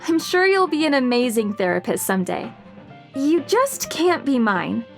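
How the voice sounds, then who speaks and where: A high-strung woman with a very high voice. She is intense, argumentative and exacting, a perfectionist in an audio dramedy. Light music sits behind her. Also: sing-song, normal speed. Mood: teasing. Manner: intense, demanding, indignant.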